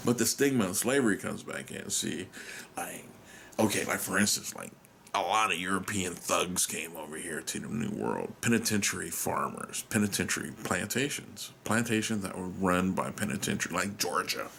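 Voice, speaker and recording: A man's voice: low-pitched, moderate pace, vocal fry, a bit bright, slight background noise. An American man rambling through a solo monologue, musing and questioning himself, sometimes dropping to a whisper and swearing casually. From United States. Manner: musing, self-questioning, trails off. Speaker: podcast host.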